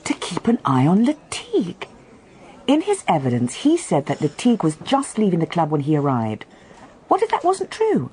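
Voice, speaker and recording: Inquisitive British woman with mellow voice. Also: fairly low pitch, wildly swooping pitch, moderate pace. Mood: reasoning, absorbed. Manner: inquisitive, direct, insistent. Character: curious, persistent young woman quizzing a detective. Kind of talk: BBC radio detective drama acting.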